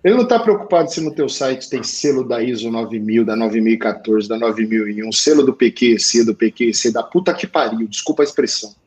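A man with a Portuguese accent coaching accountants on sales, blunt and slangy, swinging to loud emphasis. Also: medium pitch, brisk, few pauses, poor audio. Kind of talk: live talk show advice.

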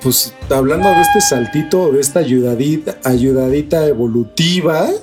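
A man's voice: mid-range voice, normal speed, over loud music. A Mexican man co-hosting a casual podcast debate, opinionated, slangy and freely swearing. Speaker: podcast co-host.

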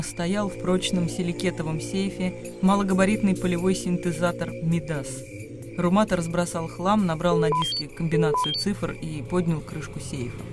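High-pitched male narrator over music. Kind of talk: literary narration in a radio play.

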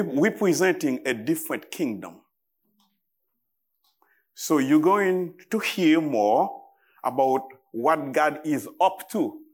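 A man with a Haitian accent preaching as a guest in church, speaking in short, deliberate phrases with halting pauses. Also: mid-range voice. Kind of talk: sermon to a church congregation.

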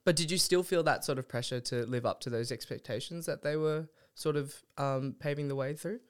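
A man's voice: on the high side, brisk, clean tone. A young man hosting a podcast interview, hesitant and searching for words with frequent restarts and fillers. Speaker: podcast host. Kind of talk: interview chat.

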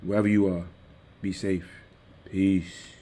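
A man hosting his own podcast, talking casually and directly to his listeners in a calm, soothing voice into a desktop microphone. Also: low-pitched, a little slow. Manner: easygoing, addresses listeners directly. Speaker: podcast host. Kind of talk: casual solo podcast talk. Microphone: desktop USB microphone.

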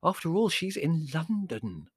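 A middle-aged storybook mother, played by a man, pitched fairly high. She sounds gentle and anxious, fretting and placating, yet she can be firm. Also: very animated, measured pace, slightly rough, dry recording, studio quality, heavily acted. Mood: fretful. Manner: fretful, placating.

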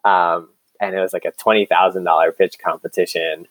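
A man's voice: deep voice, little pitch movement, fairly quick, a bit bright, dry recording, poor audio. A man speaking casually and informally as a startup co-founder being interviewed, telling stories in a conversational way.

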